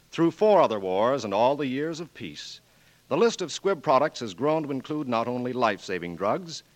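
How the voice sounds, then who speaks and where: A man's voice: mid-range voice, a bit bright. Polished 1940s radio announcer, man. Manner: polished, smooth salesman delivery.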